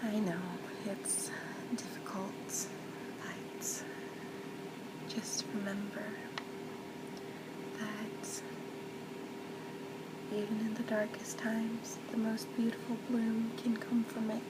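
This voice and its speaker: A woman playing a loving mother in an ASMR role play, speaking softly and tenderly, often near a whisper, to comfort and reassure her child. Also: on the high side, monotone, slow pace, long pauses. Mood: tender, soothing. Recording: loud constant background noise, ambience.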